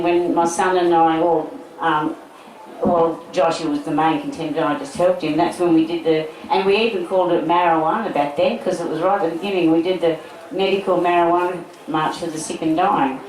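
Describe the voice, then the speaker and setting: A woman's voice: on the low side, fairly even intonation, moderate pace, a little mellow, slight background noise. A middle-aged Australian woman giving an informal, rambling public talk with blunt asides, taking questions from the audience. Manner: chatty, rambling, blunt, with swearing and laughs. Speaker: medical cannabis advocate giving a festival talk. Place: festival talk in front of an audience. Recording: echoey, distant mic, audience crowd audible.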